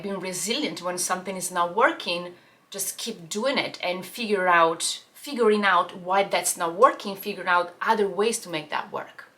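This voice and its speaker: A woman's voice: on the low side, fairly bright tone. A woman in her thirties from Italy, speaking English with an Italian accent as a second language, earnestly telling her personal story to camera with many fillers and restarts. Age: 30s. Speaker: life and business coach.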